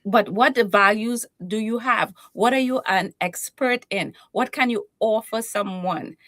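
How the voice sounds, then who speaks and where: A confident woman with a Trinidadian accent talks in a playful, self-assured way. Her voice sits at a middle pitch. The recording is close and dry, with no room sound. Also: a little slow, a bit bright, dry recording. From Trinidad and Tobago. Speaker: guest, keynote speaker and confidence coach. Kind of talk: conversational interview.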